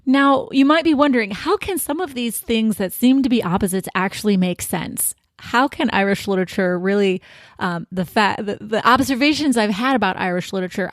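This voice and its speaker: A woman's voice: a little high, lively intonation, fairly quick, no echo. American woman podcaster, dry close sound. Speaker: podcast host. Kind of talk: prepared literary podcast talk.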